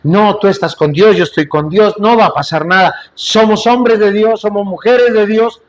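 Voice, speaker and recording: A man's voice: high-pitched, fairly even intonation, moderate pace, highs cut off. A man preaching a sermon to a congregation in a church, in an emphatic, repetitive style with rhetorical questions to the listeners and light joking asides. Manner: jokes and asides in between.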